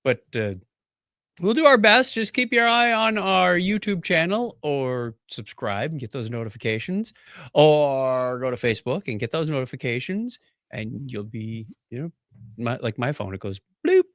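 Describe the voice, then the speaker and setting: Man, podcast host, animated pitch movement, mid-range voice, casual chat, jokey. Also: normal speed, dry recording, studio quality.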